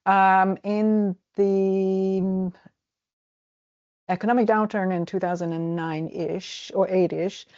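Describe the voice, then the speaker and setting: A woman in her forties from Croatia with a Croatian accent, answering interview questions in a halting way with many fillers and restarts. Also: mid-range voice, little pitch movement, darkish tone, no echo, dull audio. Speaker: travel agent. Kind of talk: casual interview.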